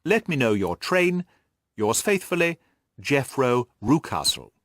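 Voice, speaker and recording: A man voicing a polite, persuasive country gentleman's letter in a formal, courteous manner for an audiobook. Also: mid-range voice, sing-song, a little slow, no echo, studio quality.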